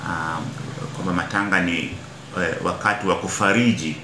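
A middle-aged Kenyan man, an Anglican bishop, answering interview questions in a measured way in a small office, often repeating words as he searches for them. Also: low-pitched, steady tone, noisy background. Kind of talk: interview answers mixing Swahili and English. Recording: echoey office room.

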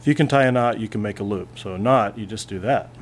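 A man's voice: on the low side, fast talker. A male physics teacher at a classroom lab bench, plainly talking through a hands-on demonstration and thinking aloud as he goes.

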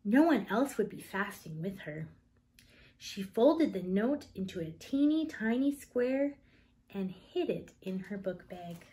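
A woman in her thirties reading a children's picture book aloud in an expressive, deliberate manner. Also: mid-range voice, rough recording.

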